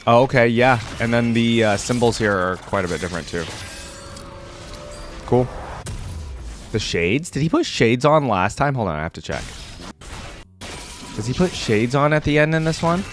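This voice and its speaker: A man's voice: medium pitch, lively intonation, moderate pace, pauses often. A man giving casual, off-the-cuff reaction commentary as a video host, musing aloud in slangy talk. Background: music and video game sounds.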